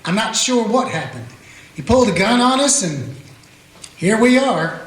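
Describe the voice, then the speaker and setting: A man's voice: high-pitched, big pitch swings, moderate pace, a bit bright. A man playing a plain-spoken small-town Western sheriff who also narrates, with folksy grammar. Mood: steady. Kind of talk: amateur radio play acting with narration. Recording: roomy echo.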